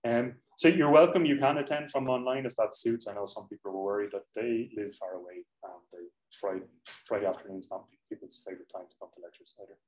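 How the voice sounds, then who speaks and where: A man's voice: fairly low pitch, fast talker, few pauses, a little mellow. A young man giving an informal university lecture in a chatty, self-correcting way, heard through a laptop mic on the desk. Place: lecture hall. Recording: echoey lecture hall.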